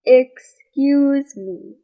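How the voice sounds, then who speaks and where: A woman voicing a serious young boy detective in a storybook, deadpan and deliberate. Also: high-pitched, rough recording. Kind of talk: storybook character reading.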